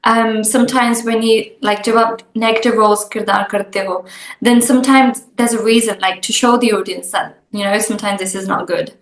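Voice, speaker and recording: A woman's voice: on the high side, steady tone, fast. A woman hosting an interview, asking questions in loose, chatty phrasing full of "like" fillers, switching between English and Hindi. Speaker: interviewer, host. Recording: roomy echo.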